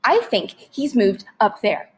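A woman's voice: a little high, sing-song, takes it slowly, husky, full character voice. A woman reading a worried, disapproving storybook mother with a firm, urgent manner. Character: worried, disapproving mother protecting her boys.

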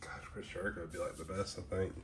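A man with a very low, stuffed-up nasal voice from a head cold talks loosely and rambles. He reads card names aloud close to a camera mic, with loud wrapper crinkling all around him. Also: little pitch movement, fast talker, heavy background noise. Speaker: card collector unboxing trading cards. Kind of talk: casual unboxing commentary. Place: indoors at a small table, close to the camera.

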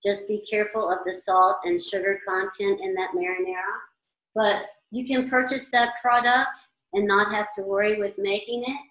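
A woman's voice: medium pitch, steady tone, moderate pace, a bit bright. An older woman chef in her sixties, teaching meal planning in a chatty, practical way in a large kitchen. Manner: chatty, practical, standing and gesturing at boards. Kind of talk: informal cooking class talk. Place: large indoor commercial kitchen. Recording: echoey kitchen room sound.

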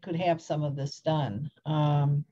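A woman in an office on a laptop video call, explaining with some halting restarts. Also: low voice, fairly even intonation, dark tone. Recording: dull lo-fi call audio with faint noise.

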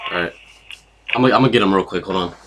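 A man chatting casually as a prank caller and host, in a hesitant, halting way. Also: low-pitched, background noise. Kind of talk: casual chat.

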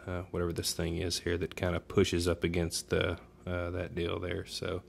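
A man's voice: low voice, completely flat delivery, moderate pace, vocal fry. A man giving a casual how-to narration in an informal, folksy way, thinking aloud as he goes, recorded in a room at home. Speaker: DIY demonstrator.

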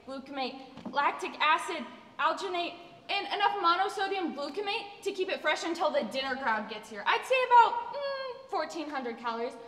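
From some way off in an echoey hall, an actress plays a nagging, health-obsessed woman. Her voice is very high and bright. She lectures with fussy, anxious earnestness in a stage comedy. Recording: echoey hall, distant microphone.

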